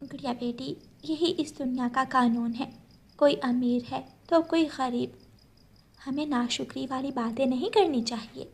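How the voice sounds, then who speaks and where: Woman as a soft-spoken, humble mother bird. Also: high-pitched, fairly even intonation, measured pace, slightly rough, heavily acted. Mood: patient, consoling.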